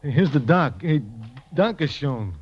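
An actor playing a good-natured, plucky wounded sailor with informal, slangy speech in an old-time radio drama on a dull recording. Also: male voice, fairly high pitch, big pitch swings, a little slow, breathy edge, full character voice. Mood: weakly cheerful.

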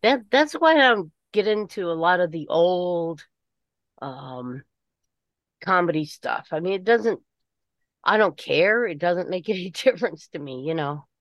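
Low-voiced woman, dull dry call audio. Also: expressive, measured pace, no echo. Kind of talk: casual group chat.

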